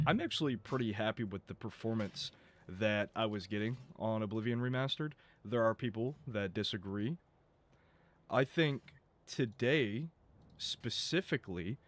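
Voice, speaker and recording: A man streaming a game live, talking casually and rambling, his voice going a bit rough as he loses it. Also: fairly low pitch. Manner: rambling, chatty, swears and laughs. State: losing his voice.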